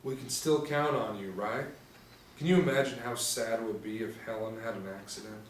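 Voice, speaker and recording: A man acts out a smug, menacing crime henchman in a drama table read. His medium-pitched voice sounds roomy, with faint noise behind it. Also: a little fast, recorded from a distance, close to an everyday voice. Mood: smug, threatening. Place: small room indoors.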